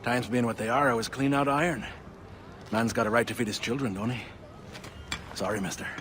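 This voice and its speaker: An actor playing a folksy, evasive colonial blacksmith in a video game, talking in clipped colloquial phrases. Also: male voice, medium pitch, fairly even intonation, a little fast, fairly bright tone, a little hiss or hum, natural voice. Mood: sheepish, evasive. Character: folksy, evasive working-class colonial blacksmith, cheerfully stalling. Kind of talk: dramatic video game acting.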